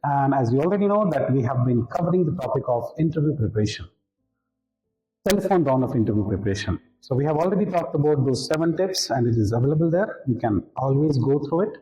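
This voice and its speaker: A man's voice: mid-range voice, animated, fast talker, dark tone, faint music behind. A man with an Indian accent giving an instructional talk as an interview coach, didactic and repeating key points for emphasis. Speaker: interview skills coach, presenter. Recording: roomy, echoing room.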